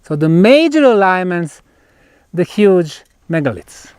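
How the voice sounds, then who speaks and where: A man guiding an on-site tour outdoors, explaining in emphatic, deliberate short phrases with pauses. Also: high voice, very animated, a little mellow, clean tone, no room sound, clean studio sound. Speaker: tour guide and researcher.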